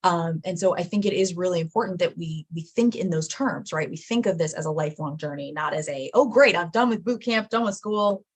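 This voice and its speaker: A woman's voice: fairly low pitch, fairly quick, few pauses, slightly rough. A woman from the United States hosting a casual interview, chatty and full of "you know" and "like". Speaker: host.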